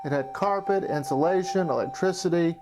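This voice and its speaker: Male narrator, higher-pitched, for a personal amateur-film retrospective, over clear background music. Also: fairly quick. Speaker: documentary narrator, amateur filmmaker. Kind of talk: personal scripted narration, looking back.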